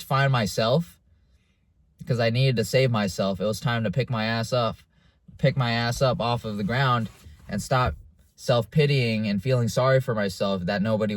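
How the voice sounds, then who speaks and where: Casual young man, low and dry. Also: no echo. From United States. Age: young adult. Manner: casual and confessional, with frequent fillers and restarts. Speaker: vlogger. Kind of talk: candid personal monologue to camera.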